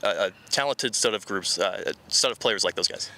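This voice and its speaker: Brisk, bright-voiced male sports reporter. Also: low-pitched, lively intonation. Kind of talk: on-camera sports interview. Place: outdoors at sports events. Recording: faint background noise outdoors.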